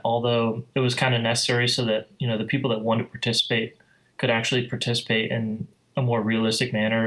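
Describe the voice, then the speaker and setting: A man with an average-pitched, very even voice talks as a guest on a podcast. He explains things in a conversational, informal way, with little rise and fall in his tone. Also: poor audio. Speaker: guest, air traffic controller and flight sim enthusiast. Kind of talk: podcast interview, explanatory talk.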